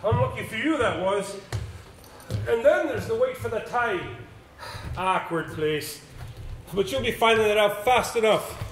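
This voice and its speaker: A man playing a plain-spoken, gruff country pony-and-trap driver in an amateur stage play. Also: sits high, animated, measured pace. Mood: matter-of-fact. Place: theatre stage, recorded from the audience. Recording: echoey stage, far from mic, faint noise.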